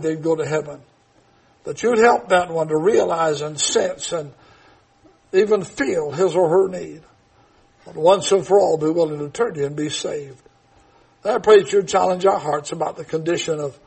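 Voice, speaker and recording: Casting: man in his 70s, gravelly, husky preacher, high-pitched for a man, loud emphatic delivery in a church. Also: rough recording. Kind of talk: sermon with prayer and scripture reading.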